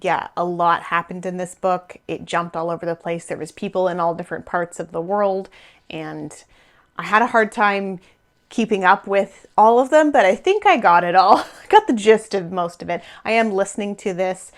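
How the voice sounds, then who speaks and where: A woman's voice: on the low side, expressive, normal speed. Chatty woman with a slightly scratchy voice. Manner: chatty, rambling, laughs often. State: losing her voice a bit. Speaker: knitting podcast host. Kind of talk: casual chat to camera.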